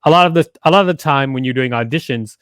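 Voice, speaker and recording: Man, middle-aged, podcast host, explanatory, medium pitch, close dry microphone. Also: quick pace, fairly bright tone, smooth, no echo. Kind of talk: conversational instructional podcast talk. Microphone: close studio microphone with foam windscreen, headset on.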